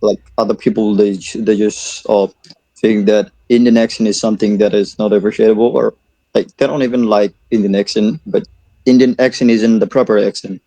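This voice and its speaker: A man's voice: fairly low pitch, fairly even intonation, brisk, warm tone, no echo, rough recording. A man giving casual advice on an online voice call, often repeating his words. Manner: repeats words while advising.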